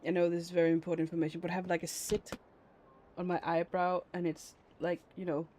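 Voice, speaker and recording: A woman's voice: fairly low pitch, flat delivery, fast, dark tone, slight background noise. A woman streaming a game, giving casual, rambling and playful live commentary. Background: game music.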